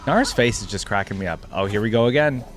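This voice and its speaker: A man's voice: on the low side, expressive, quick pace, background noise. A man giving casual reaction commentary as a gamer, thinking aloud in an informal way. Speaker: gamer reacting to game character skins.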